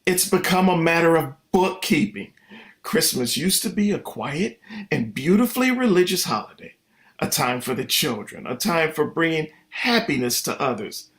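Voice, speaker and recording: A man's voice: a little high, big pitch swings, moderate pace, fairly bright tone. A man playing a wordy, mock-formal, exasperated middle-aged father in a vintage-style radio comedy. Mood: weary, disapproving. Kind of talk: vintage radio sitcom acting.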